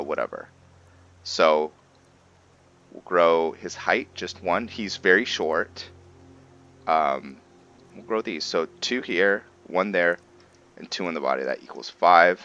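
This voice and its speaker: A man's voice: deep voice, bright, forceful tone, lo-fi sound. A man hosting a video game roleplay, giving casual, informal commentary and explaining things as he plays.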